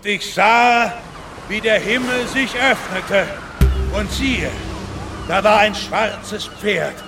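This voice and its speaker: Fanatical preacher, high gravelly voice, solemn declamation, radio drama, music underneath. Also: male voice, slow. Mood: solemn zeal. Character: fanatical armed preacher proclaiming apocalyptic scripture. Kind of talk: German radio drama acting.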